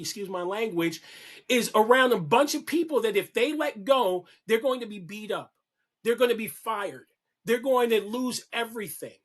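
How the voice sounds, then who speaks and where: Husky, high-pitched man preaching with passion. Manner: preachy, emphatic, repeats lines for effect. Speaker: guest on a podcast, kink educator. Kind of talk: impassioned conversational monologue.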